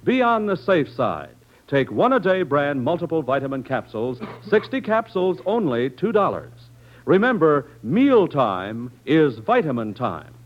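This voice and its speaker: A man's voice: a little high, sing-song, a little slow. An American man announcing in the scripted style of a 1940s radio commercial. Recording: dull lo-fi 1940s radio broadcast.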